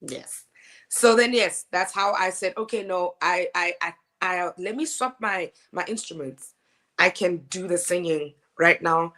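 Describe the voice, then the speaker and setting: Woman, deep low speaking voice; animated interview guest, laughs easily. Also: a little slow. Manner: animated, laughing storyteller with frequent restarts. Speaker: opera singer guest. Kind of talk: casual interview chat.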